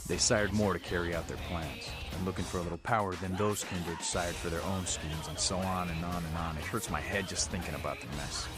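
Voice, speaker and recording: Casting: male actor as battle-worn American vampire rebel for a game, very low register, gruff and plain-spoken, music underneath. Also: close to an everyday voice. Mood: blunt, weary. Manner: blunt, streetwise, folksy slang. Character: gruff, defiant vampire rebel leader, streetwise veteran fighter. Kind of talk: video game dialogue acting.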